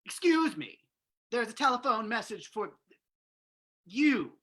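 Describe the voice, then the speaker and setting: A nosy man who manages a property in a radio play, played by a woman. The delivery is brisk and very animated, with a bright, forceful edge. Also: fairly high pitch, husky, full character voice. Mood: excited, accusing. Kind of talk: old-time radio drama reading.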